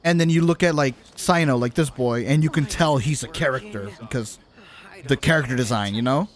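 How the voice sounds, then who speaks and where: A man's voice: medium pitch, a little fast. A man chatting casually with viewers while streaming a game, slangy and teasing, with some swearing. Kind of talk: casual chat with viewers during gameplay. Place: live stream at a gaming setup.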